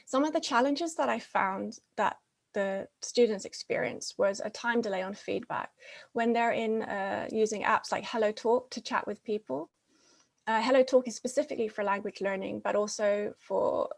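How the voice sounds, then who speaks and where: A woman speaking at a conference, her voice at an ordinary middle pitch. She explains things step by step and often stops to search for a word or start a phrase again. Her first language is English. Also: normal speed, creaky. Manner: frequent fillers and restarts, explanatory. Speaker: conference presenter. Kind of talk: academic conference presentation.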